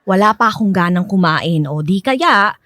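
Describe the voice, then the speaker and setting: A woman's voice: mid-range voice, animated, moderate pace, sharp tone, dry recording, studio quality. An actress playing a feisty, exasperated woman with a Filipino accent, scolding and sarcastic in a radio drama. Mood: huffy, sarcastic. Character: feisty exasperated woman fending off an elderly suitor.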